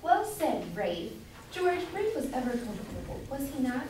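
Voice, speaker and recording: A woman's voice: high-pitched, very animated, normal speed, darkish tone. Woman playing fussy doting wife, echoey and distant. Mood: fond. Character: fussy, doting, meddlesome London citizen's wife. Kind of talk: amateur staged reading of Jacobean comedy. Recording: distant echoey room, background hiss.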